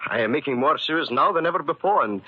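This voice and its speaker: An actor playing an excitable, hard-working immigrant shoemaker in a 1940s radio drama. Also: male voice, a little high, fast, close to an everyday voice. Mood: apologetic, earnest. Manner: agitated, theatrical, emphatic. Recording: muffled, noisy 1940s radio recording.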